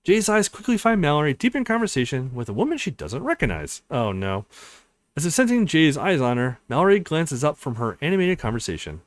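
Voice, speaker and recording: A man's voice: on the high side, big pitch swings, a little fast, dry recording. A man hosting a let's play, reading a visual novel aloud expressively with casual reactions.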